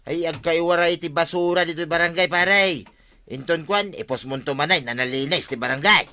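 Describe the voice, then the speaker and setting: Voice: mid-range voice, a little fast, sharp tone, slight background noise, lightly acted. A performer with an Ilocano accent playing a blunt, quarrelsome villager in a radio comedy drama, exclamatory and confrontational.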